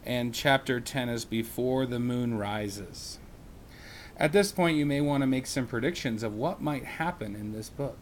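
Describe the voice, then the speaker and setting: A man who teaches reads a children's chapter book aloud in a slow, expressive way, with small slips. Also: mid-range voice, a little hiss or hum.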